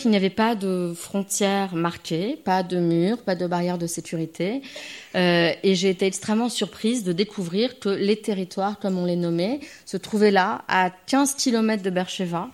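A woman from France giving a reflective personal testimony to a gathering, thoughtful and hesitant, with frequent pauses and restarts. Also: medium pitch, darkish tone. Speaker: speaker at a gathering.

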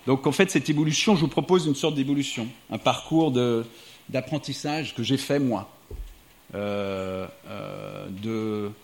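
A man's voice: medium pitch, a little slow, creaky. A middle-aged man from the United States, a diplomat and writer, speaking thoughtfully to a live audience in an evening talk. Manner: reflective, thinking aloud.

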